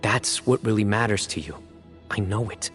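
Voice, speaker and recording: An actor playing a stern, resolute warrior judge in a video game, speaking bluntly and deliberately. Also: male voice, lowish voice, faint music behind, lightly acted. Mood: earnest, insistent.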